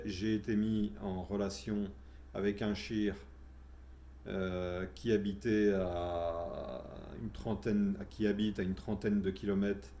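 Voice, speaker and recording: Low gravelly French man, hesitant. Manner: hesitant, many fillers and restarts, soft-spoken. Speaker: vlogger telling his spiritual journey. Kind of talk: casual first-person storytelling.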